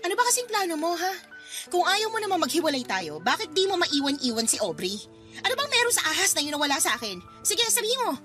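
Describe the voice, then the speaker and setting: A bitter, fed-up wife in a radio drama, with a high, sharp voice that swings widely in pitch. She is sarcastic and combative, scolding like a woman who has had enough. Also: big pitch swings, fast, faint music behind, exaggerated voice. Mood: hurt, demanding. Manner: sarcastic, confrontational.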